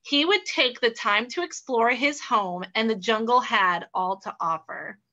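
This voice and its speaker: A woman's voice: fairly high pitch, a little slow, sharp tone, lo-fi sound. A woman hosting and narrating improvised children's stories in a theatrical, exclamatory way. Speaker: host and story narrator.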